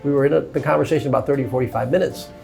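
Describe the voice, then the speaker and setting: A man's voice: mid-range voice, rapid-fire, gravelly, a little mellow, faint music behind. A middle-aged man, a hotel executive born in Cuba and raised in Miami, talking easily through his career in an interview, with "you know" and "uh" along the way. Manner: easygoing, sprinkles "you know" and "uh". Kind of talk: relaxed conversational storytelling.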